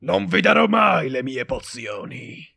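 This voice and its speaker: A man voicing a gruff, angry cave ogre in a children's cartoon, with a rough voice and blustering manner. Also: sits high, expressive, a little slow, pushed and bright, no room sound, clean studio sound, full character voice. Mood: angry, threatening. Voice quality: gravelly, rough. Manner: threatening, blustering. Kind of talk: children's cartoon character acting.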